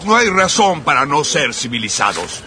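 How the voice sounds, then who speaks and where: An actor playing a haughty character in a dubbed epic film. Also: male voice, on the high side, big pitch swings, normal speed, heavy background noise.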